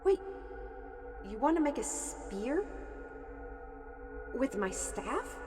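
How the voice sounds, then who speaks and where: A woman's voice: high-pitched, very animated, slow, full character voice. Fantasy audio drama heroine female actor: determined, guarded, emphatic, reverberant, over music. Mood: urgent, thoughtful. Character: determined, wary heroine with a staff. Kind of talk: fantasy audio roleplay acting.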